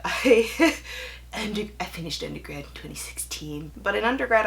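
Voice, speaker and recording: A young woman talking casually to viewers as a book vlogger, with animated emphasis and wry, self-deprecating asides. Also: on the low side. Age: young adult. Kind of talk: casual chatty video to viewers.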